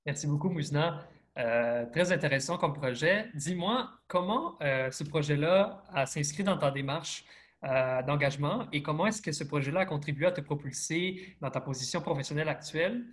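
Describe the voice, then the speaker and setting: A male moderator leads an online youth forum, thanking speakers and asking follow-up questions. His voice sits somewhat high for a man, and he pauses on fillers as he frames each question, heard through a video call. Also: animated. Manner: friendly, fillers while framing questions. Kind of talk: hosting an online panel.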